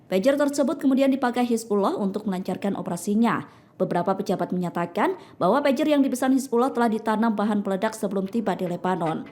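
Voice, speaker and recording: A woman's voice: medium pitch, quick pace, a little mellow. A woman narrates news from a script.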